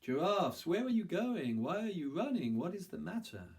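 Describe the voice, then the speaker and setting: A man's voice: high-pitched, big pitch swings, darkish tone, pitched above the performer's own voice. Man as a wise, questioning storybook lion. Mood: curious questioning. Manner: measured, questioning. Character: wise, calm male lion questioning frightened animals.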